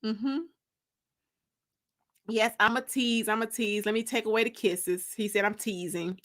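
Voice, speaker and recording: A woman's voice: on the high side, fairly even intonation, measured pace, fairly bright tone. An American woman hosting a casual livestream, chatting with viewers in a playful, teasing, colloquial way. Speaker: livestream host and author.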